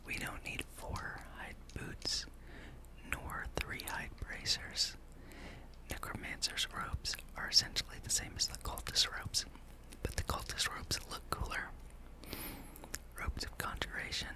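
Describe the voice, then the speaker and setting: A gaming host speaks entirely in a soft, breathy whisper. The delivery is unhurried and casual, musing through gameplay as an ASMR ramble, close to the microphone.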